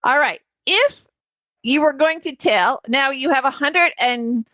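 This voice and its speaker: An American woman hosting a conversational online English lesson, explaining things in an encouraging, animated way. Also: high voice, unhurried, no room sound. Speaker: English teacher, host.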